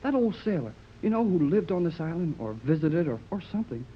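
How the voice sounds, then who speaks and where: An actor playing an eager, earnest young lad in a 1930s radio serial, boyish and polite, exclaiming and slightly halting. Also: male voice, on the high side, very animated. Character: eager, earnest boy adventurer, polite and excitable. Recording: muffled, noisy 1930s radio recording.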